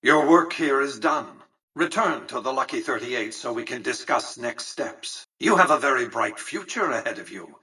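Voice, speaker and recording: Husky, haughty tycoon. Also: male voice, mid-range voice, lively intonation, moderate pace, bright, forceful tone, distant mic, full character voice, poor audio. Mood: smug, formal. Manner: precise, formal, haughty. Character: imperious, calculating old male tycoon, coolly condescending. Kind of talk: video game character dialogue.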